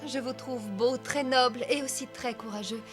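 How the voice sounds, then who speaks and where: Woman acting an earnest, high-voiced young bohemian heroine. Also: steady tone, normal speed, close to an everyday voice. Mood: tender, adoring. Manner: earnest, melodramatic. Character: young, devoted, proud, romantic bohemian woman. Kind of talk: animated film dub. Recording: loud background music.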